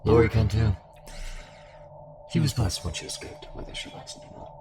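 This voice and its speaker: A man acting a deep-voiced, sinister cult fanatic. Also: animated, lightly acted. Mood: taunting, smug. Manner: taunting, measured, monologuing. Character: sinister, calm cult fanatic merged with a devouring entity. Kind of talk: dramatic audio roleplay acting. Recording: music under the voice.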